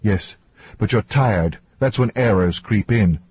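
A man voicing a cool, contemptuous woman scientist, husky. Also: on the low side, unhurried, darkish tone, dry recording, dull audio. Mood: cautioning. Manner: correcting, matter-of-fact. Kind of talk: audiobook character dialogue.

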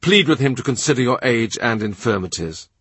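A man's voice: mid-range voice, animated, fairly quick, fairly bright tone, slightly rough, dry recording. A man voicing a shrewd middle-aged royal minister who talks in a dry, cutting, sardonic way. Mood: cool, sardonic. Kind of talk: audiobook character reading.

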